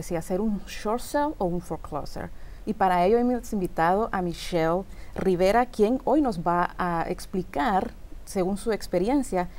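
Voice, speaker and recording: A woman's voice: mid-range voice, a little mellow. A middle-aged woman presenting a community television programme as its host.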